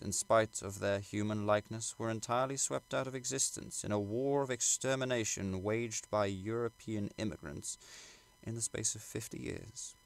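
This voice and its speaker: Creaky, low-voiced man reading a classic novel. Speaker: audiobook reader. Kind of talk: scripted literary narration.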